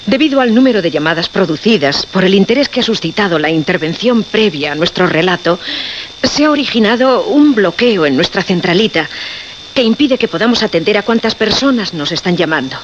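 An actress playing a radio announcer who reads a grave bulletin in a formal, deliberate way. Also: female voice, mid-range voice, expressive, close to an everyday voice. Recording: dull radio recording, faint noise.

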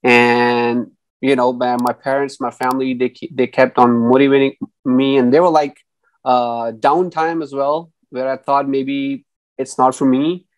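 A man's voice: medium pitch, little pitch movement, unhurried, dry recording, poor audio. A man from Pakistan in his thirties answers interview questions over a video call. He talks hesitantly, restarting often and leaning on "you know." He speaks through an earphone mic in a small room, and the sound is dry and close. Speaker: guest engineer. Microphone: earphone headset mic on video call.

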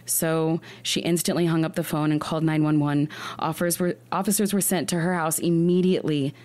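Woman, low voice, true crime podcast host, earnest, restarts sentences. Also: steady tone, a little fast, a little mellow.

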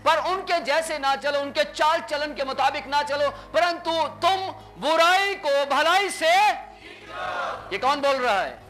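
A man in his thirties preaching a sermon on a small indoor stage, acting out conversations with lively gestures. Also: extremely high pitch, slow, a faint crowd. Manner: animated preacher, acts out dialogue with sweeping gestures. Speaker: pastor.

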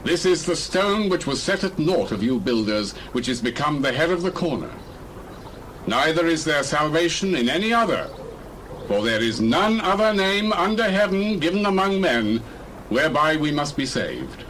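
A man's voice: on the high side, sing-song, moderate pace, a bit bright, a little hiss or hum, poor audio. A man playing a bold, righteous apostle who declaims emphatically in a dramatized Bible reading. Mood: bold proclaiming. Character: bold, righteous male apostle preaching and rebuking.

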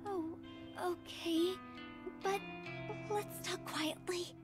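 A shy, soft-spoken young woman as a female reader acts her out from a visual novel. Her voice is very high and girlish, hesitant and secretive, with music plainly playing behind her. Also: fairly even intonation, very slow, full character voice. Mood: secretive, ominous. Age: young adult. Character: shy bespectacled young woman, timid but ominously insistent. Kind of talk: visual novel character reading. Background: background music.

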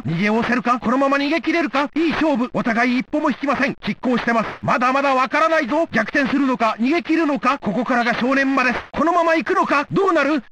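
A male actor voicing an excitable sports announcer for a video game, giving brisk, emphatic play-by-play commentary. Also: sits high, background noise, lightly acted. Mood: thrilled.

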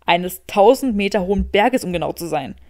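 A woman's voice: medium pitch, animated, moderate pace, darkish tone, close to an everyday voice. A woman voicing a cocky, sardonic young man with a sarcastic drawl, in an audiobook story. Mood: argumentative.

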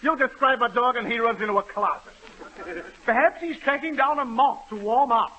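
A man's voice: extremely high pitch, moderate pace. A man playing an exasperated, sarcastic servant in a 1930s radio comedy sketch. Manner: sarcastic, impatient. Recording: dull hissy old radio broadcast.

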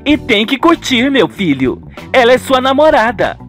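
A man's voice: very high voice, sing-song, normal speed, a bit bright, faint music behind, pitched above the performer's own voice, exaggerated voice. A man voicing a troubled, suspicious wife and mother in a dramatic, plaintive radio soap style. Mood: approving. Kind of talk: radio soap opera acting.

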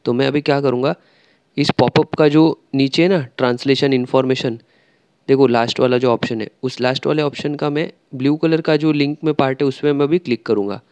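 A man's voice: medium pitch, steady tone, fairly quick, darkish tone. A man talking through a screen-recorded tutorial step by step, chatty and loose, with frequent filler sounds. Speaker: tech tutorial creator.

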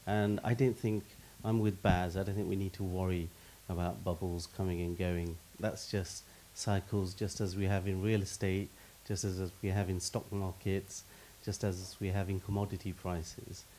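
Low-voiced man answering on a panel stage. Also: flat delivery, moderate pace, few pauses, mellow, slight background noise, low-quality recording. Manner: expansive, repeats words when starting, gives lists of examples. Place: stage in a meeting hall with audience. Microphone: handheld mic.

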